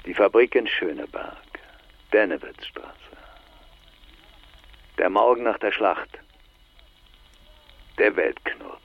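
An actor playing a teasing, enigmatic older man in a radio drama, speaking in a wry, measured, gravelly way through a telephone filter. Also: fairly low pitch. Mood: knowing, measured. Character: teasing, enigmatic older man, long-lost friend calling. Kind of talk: radio drama phone dialogue. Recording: slightly dull, band-limited phone line.

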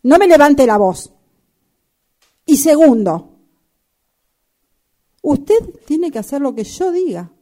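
Radio drama boss played by a woman: scornful, domineering, curt, fairly high voice with a soft dark tone. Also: sing-song, slow pace, long pauses, full character voice. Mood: angry, stern. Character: bossy, scornful woman boss of a sewing workshop. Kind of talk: improvised radio drama workshop acting.

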